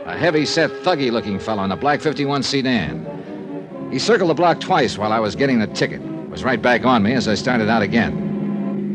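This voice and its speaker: Male actor as wry American insurance sleuth, vintage radio crime drama, swinging expressive delivery, cocky, music in the recording. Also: medium pitch, close to an everyday voice, low-quality recording. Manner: wry, wisecracking. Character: wry, cocky freelance insurance investigator, adult man. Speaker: radio drama lead and narrator. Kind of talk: 1950s American radio detective acting, first-person narration.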